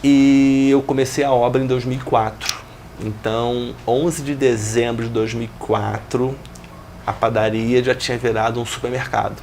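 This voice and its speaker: Gravelly Brazilian man telling his story. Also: mid-range voice, moderate pace, a little hiss or hum. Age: 40s. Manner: reflective, steady storytelling with business jargon. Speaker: business owner guest.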